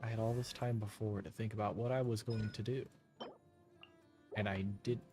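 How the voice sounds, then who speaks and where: A man's voice: fairly low pitch, steady tone, normal speed, darkish tone, soft background music. A man giving casual, rambling live commentary while streaming a game, muttering to himself.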